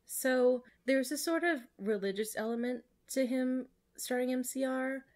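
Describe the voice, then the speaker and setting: A woman's voice: high-pitched, measured pace, darkish tone. A woman co-hosting a podcast, moving between casual chat and reading passages aloud. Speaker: podcast co-host.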